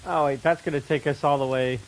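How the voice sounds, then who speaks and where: A man's voice: mid-range voice, fairly even intonation, a little fast, a little hiss or hum, rough recording. A man giving casual, informal gameplay commentary as he plays, reacting aloud to the game. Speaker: game streamer, player.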